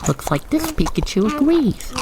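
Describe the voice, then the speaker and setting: A man guiding the listener through an ASMR roleplay in expressive, theatrical, wordy storytelling narration. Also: very high-pitched, moderate pace, noisy background.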